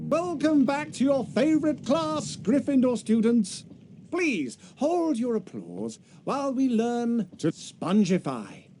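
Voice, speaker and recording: A man's voice: very high-pitched, sing-song, slow, dark tone, faint music behind, heavily acted. An actor playing a showy, pompous male wizard professor addressing a class in a video game. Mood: grand, showy. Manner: theatrical, pompous.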